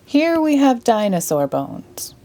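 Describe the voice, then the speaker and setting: Woman putting on a showy, drawn-out male stage magician. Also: on the high side, very animated, mellow, pitched above the performer's own voice. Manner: theatrical, grandiose, drawn-out.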